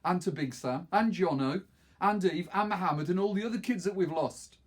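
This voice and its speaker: An angry teenage girl who leads a gang in a storybook , read by a man. She is bitter and emphatic, in a raised, husky voice. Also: high-pitched, normal speed, voice pushed up. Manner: exasperated, emphatic.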